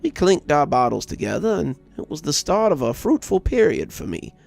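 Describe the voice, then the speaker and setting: A man reads a scripted story in a gravelly, fry-edged voice. His pitch moves around in a lively way as he delivers each line with measured drama. Soft music plays underneath. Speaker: horror story narrator and channel host. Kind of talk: scripted first-person storytelling narration. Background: background music.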